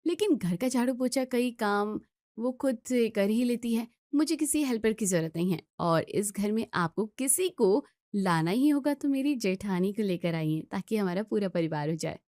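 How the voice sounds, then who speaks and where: A woman's voice: on the high side, darkish tone, dry recording, studio quality, close to an everyday voice. A woman voicing a cheerful, dutiful daughter-in-law in her twenties in an audio story, eager, sweet and deferential.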